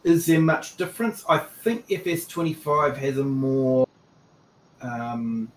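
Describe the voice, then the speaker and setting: A man's voice: medium pitch, steady tone, echoey room, a little hiss or hum, poor audio. A man casually chatting on a live game stream, reading chat aloud with lots of ums. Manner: unhurried, reads chat aloud, frequent ums.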